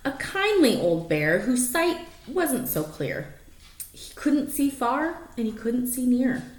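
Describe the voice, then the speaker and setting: Animated woman reading a children's storybook, roomy echo. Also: high-pitched, takes it slowly. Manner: animated storyteller, stretching key words, asking prediction questions. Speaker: storybook reader for young children. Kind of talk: expressive read-aloud of a rhyming picture book with asides to children. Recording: roomy echo, faint background noise.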